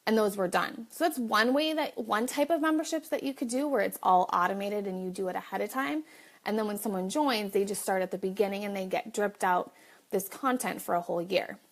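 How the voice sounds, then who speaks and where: A woman's voice: medium pitch, brisk. A woman hosting a casual live video, chatting directly to viewers, with a slight cough. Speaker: livestream host.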